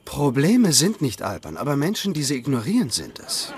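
A man's voice: mid-range voice, wildly swooping pitch, normal speed, background noise. A man playing an earnest, preachy, solemn friend in a dubbed sitcom. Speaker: actor in a sitcom dub.

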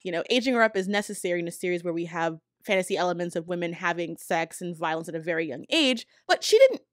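A woman's voice: lowish voice, lively intonation, fast, no room sound, clean studio sound. A woman in her thirties giving informal, emphatic, opinionated commentary as a video essayist. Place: small room at home. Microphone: close desk microphone on arm. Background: background music.

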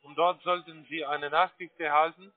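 Higher-pitched man on a phone line. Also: flat delivery, moderate pace, faint music behind. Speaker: phone agent guiding a customer. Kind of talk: instructing a customer over the phone. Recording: narrow, muffled phone line.

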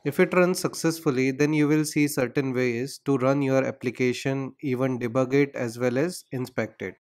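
A man's voice: medium pitch, moderate pace. A man hosting a screen-recorded coding tutorial, explaining steps and spelling out terminal commands. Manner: steady, instructional, spells out commands.

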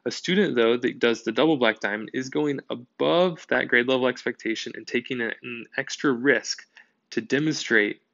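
A male teacher narrating a whiteboard lesson in a steady, explanatory way. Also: mid-range voice, moderate pace, creaky, no room sound, muffled recording.

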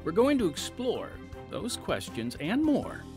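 A man hosting a podcast in scripted narration, theatrical and folksy, with dramatic pauses. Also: high-pitched, huge pitch swings. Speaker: podcast host and announcer. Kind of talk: scripted narration, radio host. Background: music.

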